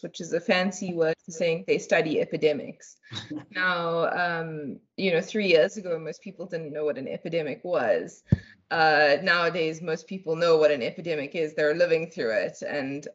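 Low husky South African woman, video call. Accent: South African English. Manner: articulate, laughs easily, thoughtful. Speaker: guest. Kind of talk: conversational interview answers. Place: home room, indoors. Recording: dull lo-fi video call audio.

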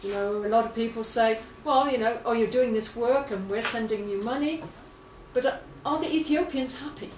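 A woman's voice: on the high side, moderate pace. A woman in her fifties giving an interview answer as an award recipient. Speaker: honorary award recipient, education charity worker. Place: small indoor room with whiteboard. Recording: roomy, noisy, slightly distant mic.

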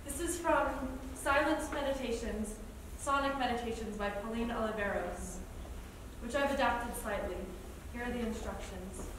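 A woman acting in a staged reading as a gentle guide who gives deliberate, instructive meditation directions. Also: a little high, close to an everyday voice. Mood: calm, instructive. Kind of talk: live theatre reading, guiding a group meditation. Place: large indoor theatre stage. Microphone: far camera in audience. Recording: echoey theatre, distant, noisy.

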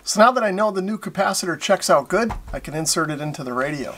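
A man's voice: high voice, expressive, fast, fairly bright tone. An American man in his forties giving casual, explanatory narration as host of an electronics repair tutorial. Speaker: host of a radio repair channel.

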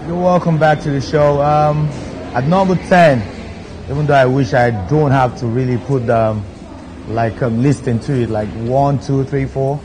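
Nigerian dj guy chilling in a studio chair, easy chatty talk, some background noise. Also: mid-range voice. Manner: laid-back, frequent "you know" fillers. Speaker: DJ hosting his own video countdown. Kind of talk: relaxed seated talk to camera. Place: studio set, seated in armchair.

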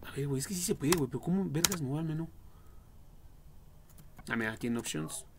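Young male Mexican streamer, jokey and crude, fry-heavy voice, casual play-by-play over faint noise. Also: a little high, fast talker. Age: young adult. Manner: slangy, foul-mouthed, jokey. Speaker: livestream gamer. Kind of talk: casual game livestream commentary.

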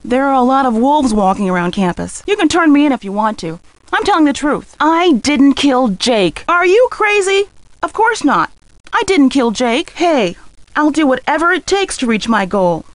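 An actress playing a driven, defensive high school girl in a video game, speaking in a guarded, emphatic way. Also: sing-song, moderate pace, dry recording. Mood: proud.

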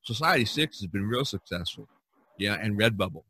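A man's voice: lowish voice, expressive, a little fast, sharp tone, slightly rough. A man hosting a casual podcast about art, talking in a rambling, enthusiastic way and repeating himself. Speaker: podcast host and artist.

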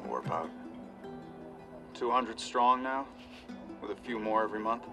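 Guitar music plays clearly behind a low-pitched man played by an actor. He is a resistance radio operator in a game drama. He talks in a measured, calm way that is welcoming and reassuring. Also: natural voice. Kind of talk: naturalistic game cutscene acting.

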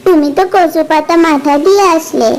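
A woman voicing a stubborn village wife who nags her husband in an animated cartoon. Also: sits high, moderate pace. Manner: insistent, scolding. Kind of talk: cartoon dubbing.

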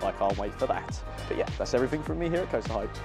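A man's voice: on the low side, animated, rapid-fire, creaky, music in the background. A British man in his twenties presenting an update vlog to camera outdoors as the host. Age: 20s. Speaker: theme park vlog host. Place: outdoors by a seaside amusement park. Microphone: small clip-on mic on jacket, filmed at arm's length.

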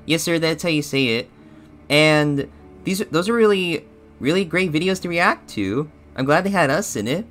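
A man's voice: a little high, lively intonation, moderate pace, fairly bright tone, faint music behind. A man voicing an eager, deferential cartoon shadow bear sidekick in hesitant, improvised banter. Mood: agreeable. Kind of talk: improvised character reaction banter.

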